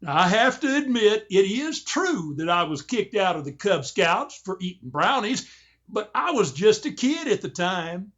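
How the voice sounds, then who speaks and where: A man's voice: sits high, very animated, measured pace, fairly bright tone, dull audio, exaggerated voice. A man playing a defensive, sheepish fellow in a radio comedy sketch, protesting and justifying himself. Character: defensive, sheepish man making dubious confessions.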